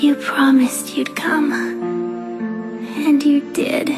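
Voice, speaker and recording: A woman's voice: sits high, little pitch movement, slow pace, music in the background. A woman playing a sweet, fragile teenage girl in a dramatic video game. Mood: weakly grateful.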